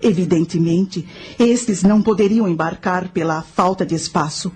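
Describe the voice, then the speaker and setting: An actress playing a knowing spirit guide, telling ancient history and teaching in a measured, solemn way in a radio drama. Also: female voice, on the low side, darkish tone, slightly rough, faint music behind. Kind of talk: scripted radio drama narration.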